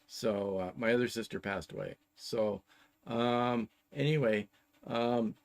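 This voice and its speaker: A man in his sixties with a Canadian accent, chatting casually as a show co-host and explaining plans in a halting, methodical way. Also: medium pitch. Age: 60s.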